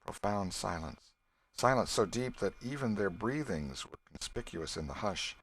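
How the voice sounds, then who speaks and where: A man's voice: lowish voice, expressive, normal speed, husky, dry recording, low-quality recording. A man reading a literary story aloud as an audiobook narrator, in a scripted style.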